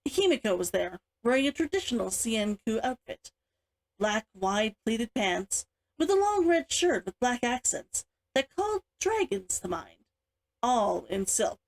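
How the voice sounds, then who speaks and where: A woman with a chirpy, expressive manner, speaking as an author hosting her own story read-along. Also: on the high side, a little slow, rough recording. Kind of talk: animated chat and story read-aloud.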